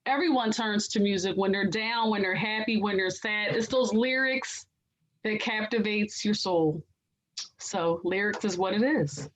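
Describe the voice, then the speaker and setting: A woman co-hosting a casual podcast, with a raspy, nasal voice that is a bit hoarse, chatting with many fillers. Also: medium pitch, a little slow, low-quality recording. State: hoarse, recovering. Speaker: podcast co-host. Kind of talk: casual chat.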